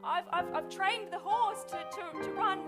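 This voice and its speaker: A woman's voice: very high-pitched, measured pace, loud music behind the voice. A woman playing a meek, downtrodden young pantomime heroine, stammering and earnestly eager to please. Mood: apologetic. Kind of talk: improvised pantomime acting.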